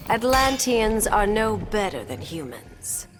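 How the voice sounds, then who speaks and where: A woman's voice: mid-range voice, very animated, slow, music in the background. A woman playing a scornful, haughty plant-loving villain in a video game.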